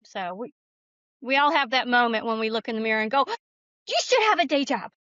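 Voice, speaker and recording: A middle-aged woman with a fairly high voice talks as an interview guest. She is earnest and candid as she reflects and tells stories. The sound is close and dry but a little muffled. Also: very animated, a little fast, fairly bright tone, no room sound. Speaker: guest author and screenwriter.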